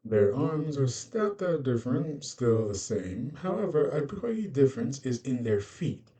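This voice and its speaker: A man narrating an informal fantasy creature guide in halting, loosely phrased explanations. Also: mid-range voice, very animated, warm tone, recorded from a distance.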